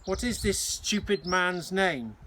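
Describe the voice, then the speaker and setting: A cruel, commanding hospital matron from a children's story, played by a man reading aloud. She speaks in a raised voice, demanding and full of contempt, over clear background noise. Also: high voice, animated, slow pace, sharp tone, pitched above the performer's own voice, exaggerated voice. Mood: stern threatening. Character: strict, cruel, bossy hospital matron, adult woman.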